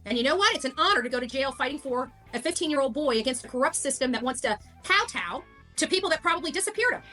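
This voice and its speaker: A woman's voice: high-pitched, lively intonation, fast talker, pushed and bright, faint music behind. A woman talking in an informal livestream monologue, defiant, emphatic and combative. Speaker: livestreamer covering a case.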